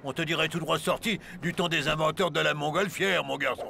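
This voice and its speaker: An actor playing a blustering, excitable man of old France in a cartoon, theatrical and full of exclamations, over loud background sound. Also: a little high, fairly quick, vocal fry. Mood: jovial. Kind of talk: cartoon voice acting. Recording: loud music and crowd noise behind.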